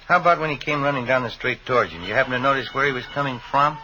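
A man with an American accent playing a calm, persistent police detective in a radio drama, laconic and matter-of-fact. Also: mid-range voice, very fast, lightly acted. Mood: calm, probing. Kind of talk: 1950s radio drama acting. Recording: dull, faintly noisy old radio recording.

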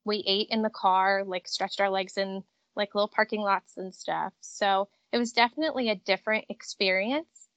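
A woman's voice: mid-range voice, normal speed, few pauses, fairly bright tone, no room sound. A woman in her thirties from Connecticut, chatting upbeat and talkative as an interview guest on an outdoor porch. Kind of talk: casual interview chat.

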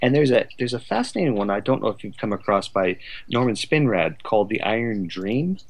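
A man speaking as a podcast guest, casual and thoughtful, halting often as he gathers his ideas. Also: fairly low pitch, sing-song. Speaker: podcast guest, playwright and author. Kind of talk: casual conversational discussion.

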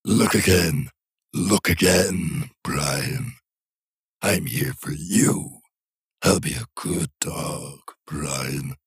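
Husky demonic dog corpse. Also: male voice, no room sound, clean studio sound, exaggerated voice. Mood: taunting. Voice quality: low, husky, slow and drawn out. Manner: taunting, sly. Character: demonic creature in a dead dog's body, gleeful predator. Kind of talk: audiobook horror narration.

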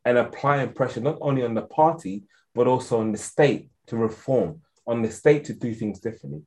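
A man's voice: medium pitch, a little fast, a little mellow. A man with an English accent answering interview questions as an expert guest, speaking earnestly and thinking aloud with frequent restarts. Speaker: guest expert on African security policy.

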